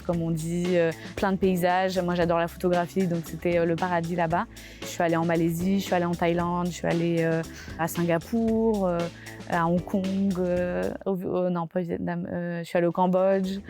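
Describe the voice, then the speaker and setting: A woman's voice: on the low side, a little mellow. A young woman from France with a French accent, telling her story in a casual interview with many fillers and small laughs. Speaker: interviewee, graphic designer.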